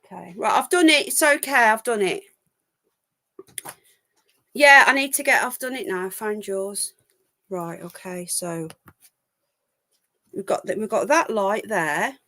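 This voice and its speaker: A woman's voice: mid-range voice, a little slow, long pauses, bright, forceful tone. A woman hosting a live call-in stream from home, chatting frankly and rambling, a bit full of cold, on a laptop mic. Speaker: livestream call-in show host. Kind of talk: casual live stream chat.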